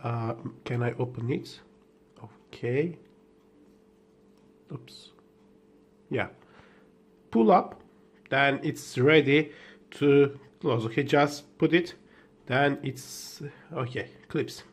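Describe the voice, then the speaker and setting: Slow, halting man with a Turkish first language. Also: medium pitch. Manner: hesitant, halting, with many fillers and restarts. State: just woken up. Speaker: tech vlogger. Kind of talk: unboxing commentary.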